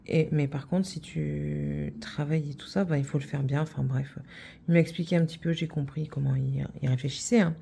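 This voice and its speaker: A woman's voice: low voice, steady tone, a little fast, gravelly, mellow, slight background noise. A middle-aged woman from France, hosting a craft channel and chatting casually, rambling with many fillers and restarts.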